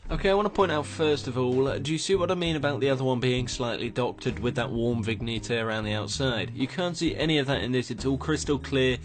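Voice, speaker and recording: A man hosting a gaming show, his voice slightly croaky from just waking up as he rambles through screenshot commentary with many restarts. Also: medium pitch, fast, few pauses. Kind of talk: casual commentary on game screenshots.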